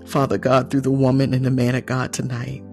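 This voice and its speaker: A man's voice: mid-range voice, monotone, fairly quick, vocal fry, a little mellow, soft background music. A man leading heartfelt, spontaneous prayer as a church leader, emotional and repeating his phrases. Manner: emotional, repetitive. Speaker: church leader addressed as apostle.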